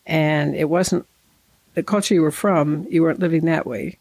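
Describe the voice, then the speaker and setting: An interviewer asking thoughtful, probing questions in a steady conversational way. The voice has a gravelly, creaky edge and sounds dry and close, with no room echo. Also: mid-range voice, normal speed. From Nigeria.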